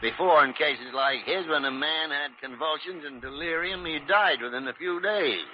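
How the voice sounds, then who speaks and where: An actor playing an older senior doctor in an old-time radio drama, crusty, explanatory and insistent. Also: male voice, a little high, normal speed, close to an everyday voice. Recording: muffled, noisy vintage radio recording.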